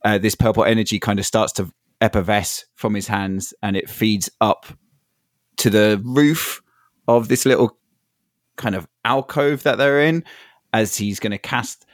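A man's voice: lowish voice, fairly even intonation, a little slow, no room sound, studio quality. A man playing a tabletop role-playing game, describing his character's actions in a hesitant, descriptive way with small fillers. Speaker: player.

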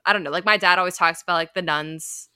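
A chatty woman hosts a casual storytelling podcast. She talks in an animated, loose way, full of filler words and playful sound effects. Her voice sits a little low but comes across bright and forceful, recorded close and dry. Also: quick pace, smooth, no room sound. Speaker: podcast host.

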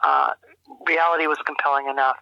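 An older woman speaking as an interview guest in a measured, thoughtful way, often searching for her words. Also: sits low, flat delivery, creaky, no room sound. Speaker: guest, journalist and journalism teacher. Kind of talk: reflective interview conversation. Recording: dull telephone-like line.

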